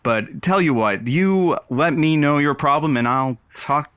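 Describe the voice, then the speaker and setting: An actor playing a radio producer filling in as host of a lonely-hearts show, wry and philosophical, a little hesitant in conversation. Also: male voice, a little high, animated, unhurried, fairly bright tone, clear, resonant tone, no room sound, studio quality. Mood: hesitant, friendly. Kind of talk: radio call-in monologue and banter.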